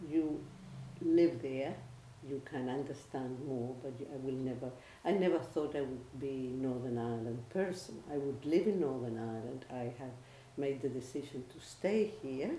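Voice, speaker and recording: An older speaker with a Chilean Spanish accent speaks in a measured, reflective way, often pausing and restarting phrases. The tone is mellow and mid-pitched. The recording sounds roomy, with a faint hiss underneath. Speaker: interviewee, former academic and researcher. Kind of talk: personal testimony.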